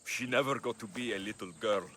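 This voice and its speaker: A man's voice: on the low side, brisk, a bit bright, a little hiss or hum, close to an everyday voice. An actor playing a grieving male Greek mercenary hero in a video game, speaking in a subdued, somber way. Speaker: game protagonist. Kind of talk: video game cutscene acting.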